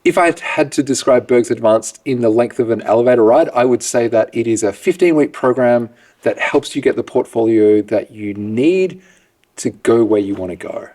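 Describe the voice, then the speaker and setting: A man's voice: mid-range voice, moderate pace. Aussie guy chatting easy, dry self-deprecating jokes, program director being interviewed. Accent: Australian. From Australia. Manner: relaxed, self-deprecating humor. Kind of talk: casual interview.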